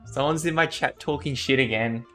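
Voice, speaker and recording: A man's voice: mid-range voice, normal speed, bright, forceful tone, faint music behind. A man live streaming, giving casual reaction commentary and talking to his chat.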